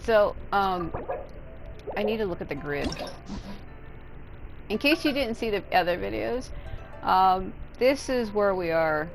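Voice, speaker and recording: A woman's voice: deep voice, poor audio. A woman giving casual, unscripted gameplay commentary, thinking aloud in a rambling way and swearing casually. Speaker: video game streamer.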